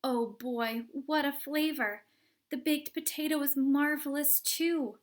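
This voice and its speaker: A woman voicing a spoiled, stubborn gum-chewing young girl in a storybook read-aloud, loud and demanding. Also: high-pitched, steady tone, takes it slowly, voice pushed up. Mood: delighted.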